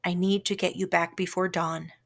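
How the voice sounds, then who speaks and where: A woman voicing a gentle, solemn male guardian lion in a storybook, quiet and measured. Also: on the low side, flat delivery, creaky.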